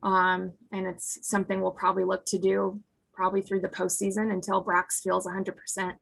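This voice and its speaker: A woman in her thirties, an American softball head coach, answering postgame press questions in an earnest way with a husky voice that is hoarse from screaming. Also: mid-range voice, monotone, fairly quick, rough recording. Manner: earnest, restarts sentences often.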